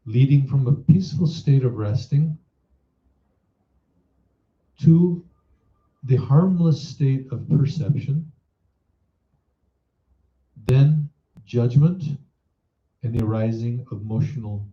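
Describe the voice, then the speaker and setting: A man leading a guided meditation as a teacher, in a measured, instructive manner, posing reflective questions. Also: medium pitch. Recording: roomy echo, dull muffled sound.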